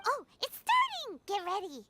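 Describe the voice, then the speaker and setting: Very high squeaky little fairy companion. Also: female voice, wildly swooping pitch, moderate pace, very noisy, full character voice. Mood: cheerful. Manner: speaks of self in third person, chirpy and chatty. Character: small childlike fairy companion, chatty, easily scared but plucky. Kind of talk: video game character dialogue. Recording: loud game music behind.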